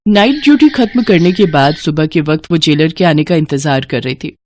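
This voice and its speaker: A woman's voice: sits low, moderate pace, a little mellow, slight background noise. A woman narrating a scripted devotional story in a dramatic storytelling manner. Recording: music behind the voice. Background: background music.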